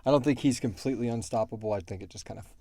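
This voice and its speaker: A man co-hosting a casual question-and-answer chat, thinking aloud and explaining things in a halting way. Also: mid-range voice, dark tone, dry recording. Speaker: podcast co-host and show creator answering fan questions.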